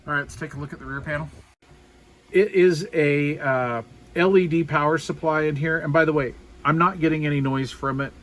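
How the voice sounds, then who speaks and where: A man's voice: a little high, fairly even intonation, a little fast, a bit bright, slight background noise. A man giving a casual, unscripted hobbyist show-and-tell in a folksy, colloquial, self-deprecating way. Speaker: hobbyist showing a DIY radio build.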